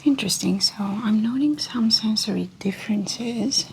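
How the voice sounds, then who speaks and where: Woman, whispery ASMR examiner, gentle measured instructions, fry in the voice, faint noise behind. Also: a little high, rough recording. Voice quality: breathy, whispery soft voice. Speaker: ASMR creator playing a medical examiner. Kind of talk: soft-spoken ASMR medical exam roleplay.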